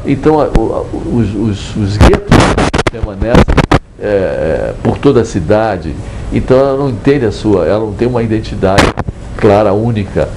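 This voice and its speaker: An older Brazilian man, an author speaking reflectively in a measured, digressive way with hesitant restarts. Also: fairly low pitch, lively intonation, very noisy. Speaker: book author. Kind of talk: reflective interview monologue.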